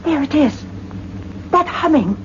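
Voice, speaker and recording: An actress playing an apprehensive, inquisitive young science student in a radio play, speaking with dramatic, questioning phrasing. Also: female voice, high-pitched, animated, measured pace. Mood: anxious wonder. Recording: muffled lo-fi radio, faint noise.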